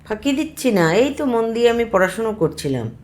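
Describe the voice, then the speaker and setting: A woman's voice: on the high side, sing-song, brisk, darkish tone, pitched above the performer's own voice. A storybook schoolboy voiced by a woman storyteller answers his elders dutifully. He sounds all innocence and diligence, though he is a little shirker covering his tracks. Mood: falsely innocent. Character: schoolboy nephew, dodging study, feigning diligence.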